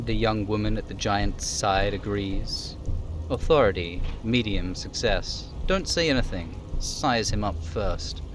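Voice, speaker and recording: A man with a fairly low voice reads out video game narration as a live streamer. There is faint noise and rumble in the recording. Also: a little slow.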